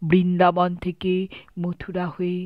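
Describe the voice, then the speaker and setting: Slow woman reciting, muffled recording. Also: on the low side, monotone, no echo. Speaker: poetry reciter. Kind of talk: dramatic literary poem recitation. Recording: dull lo-fi, slightly muffled recording.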